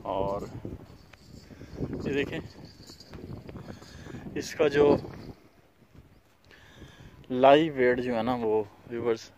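A man's voice: mid-range voice, drawn-out words, long pauses, muffled recording, noisy background. A man who owns a cattle farm, casually showing animals for sale outdoors in a dusty farmyard, pointing out each one's features plainly and repetitively. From Pakistan. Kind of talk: casual walkthrough vlog.

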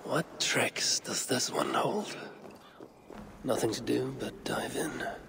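A gravelly, muttering warrior. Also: male voice, mid-range voice, slow pace, background noise. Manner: quiet, muttered inner thoughts. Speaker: player character.

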